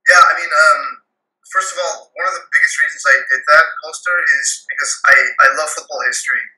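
A man's voice: a little high, steady tone, fairly quick, pushed and bright. A young man from Romania answering casually as an interview guest over a video call. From Romania, raised in Austria, Germany and New York. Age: young adult. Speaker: guest, graphic artist. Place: home room with desk, indoors. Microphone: video call, computer at desk distance. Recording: roomy, tinny video-call audio.

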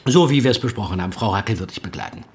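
A man's voice: lowish voice, lively intonation, racing. An actor playing a courteous, businesslike male agency organiser in a naturalistic audio drama. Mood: courteous, brisk. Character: smooth, businesslike agency man, formally courteous.

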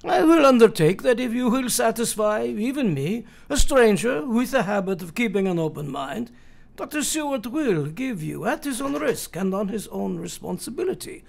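An actor playing an eccentric, probing professor in a radio play, formal and deliberate. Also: male voice, extremely high pitch, big pitch swings, gravelly, full character voice.

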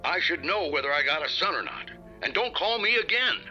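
A man's voice: on the high side, very animated, brisk, bright, forceful tone, soft background music, heavily acted. An actor playing a curt, irritated man answering the telephone, heard through a phone filter in a radio drama. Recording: dull, muffled phone line.

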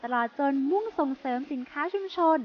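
A young woman presents a TV segment in a high, bright voice, polite and chirpy, speaking from inside a supermarket. A clear hiss of background noise sits under her words, with store chatter around her. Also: lively intonation, a little fast, dull audio. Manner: polite, chirpy, frequent soft polite particles. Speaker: TV show host.

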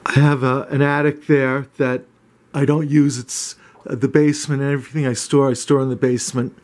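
Man, homeowner type, flat monotone delivery, halting with lots of "uh"s, casual camera-held house tour. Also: medium pitch. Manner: hesitant, many "uh" fillers and restarts. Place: small wood-paneled cottage indoors.

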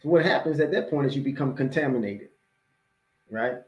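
A man's voice: medium pitch, fairly quick, a little mellow. Echoey American man giving a motivational lecture. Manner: conversational, emphatic, repeats phrases for emphasis. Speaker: imam. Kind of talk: motivational religious lecture to camera. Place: small indoor room at home or office. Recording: roomy, echoey webcam audio.

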